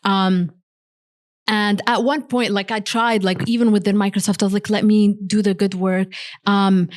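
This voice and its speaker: A young woman who grew up in Morocco speaks as a podcast guest. She talks earnestly and loosely, leaning on "like" and "um" between thoughts. Her voice sits at a middle pitch. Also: little pitch movement, normal speed, darkish tone. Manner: heavy use of "like" fillers, earnest. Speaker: guest, software engineer. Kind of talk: casual conversational interview.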